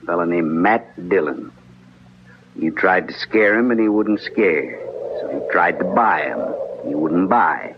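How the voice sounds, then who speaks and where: A menacing gunslinger from an old radio western. His voice is low and his talk is terse, drawling and rustic. The vintage recording is dull, with clear noise. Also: lively intonation, a little slow. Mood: cold, goading. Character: menacing hired gunman from the cattle country. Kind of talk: 1950s radio western acting.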